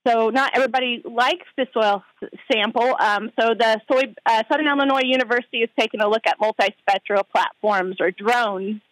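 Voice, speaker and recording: A woman agronomist talks through a crop testing program in an interview. Her voice sits fairly high and the sound is dry and close. She halts often with fillers as she explains. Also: a little fast, no echo, low-quality recording. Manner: hesitant, many fillers. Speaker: outreach agronomist guest. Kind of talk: interview by phone. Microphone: phone line.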